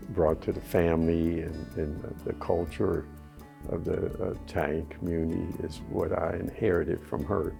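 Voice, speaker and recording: A man speaking haltingly, with uh's, in an interview clip. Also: sits low, creaky, dark tone. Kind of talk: interview clip for a tribute video.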